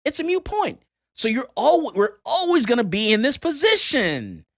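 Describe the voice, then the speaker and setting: Animated emphatic male talk show host. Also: very high voice, normal speed, dry recording, studio quality. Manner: animated, emphatic, repeats points. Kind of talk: casual news commentary.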